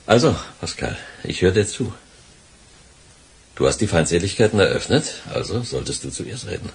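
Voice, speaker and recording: A calculating male gangster from a radio crime play, low and very husky. He sounds controlled and condescending, with lively swings of pitch that carry his menace. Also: moderate pace, exaggerated voice. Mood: guarded challenge. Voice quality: husky, rough. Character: cool, calculating, dangerous male gangster in a standoff. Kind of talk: German radio crime drama acting.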